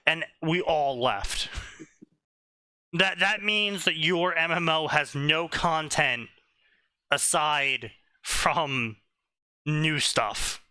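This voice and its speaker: A man co-hosting a casual stream, talking slowly and deliberately, often checking "right?". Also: fairly high pitch, sharp tone, no room sound. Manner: explanatory, slow and deliberate, asks "right?" often. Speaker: stream co-host.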